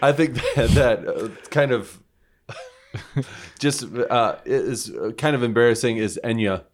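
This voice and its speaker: A man's voice: mid-range voice, takes it slowly. A man co-hosting a casual podcast chat, teasing and joking with his guests. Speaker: podcast co-host.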